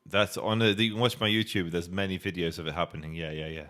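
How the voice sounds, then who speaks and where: A man arguing forcefully in a street debate, assertive and quick to push back. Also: low voice, fairly even intonation. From United Kingdom. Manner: assertive, argumentative, calls others bro.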